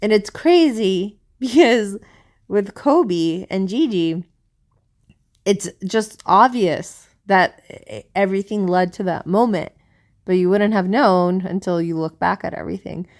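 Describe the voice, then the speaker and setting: A woman's voice: mid-range voice, a little slow, darkish tone. A woman co-hosting a podcast in casual conversation, talking in an earnest, rambling, musing way. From South America. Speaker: podcast co-host.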